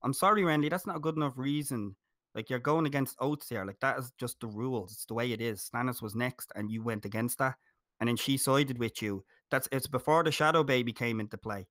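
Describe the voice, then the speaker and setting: A man's voice: mid-range voice, brisk, fairly bright tone, dry recording, clean studio sound. An Irish man talking as a guest in a casual podcast chat, joking and emphatic. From Ireland.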